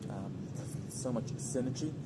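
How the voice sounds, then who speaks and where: A man's voice: mid-range voice, unhurried. A man from Nepal, a guest at an event, chatting casually with many fillers and restarts. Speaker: interview guest, event attendee. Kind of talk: casual interview chat. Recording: loud background noise.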